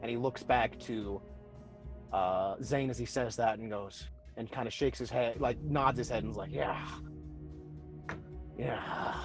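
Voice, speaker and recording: A man's voice: medium pitch, moderate pace. A man chatting casually and jokingly as a player in a tabletop roleplaying game. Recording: music playing behind the voice.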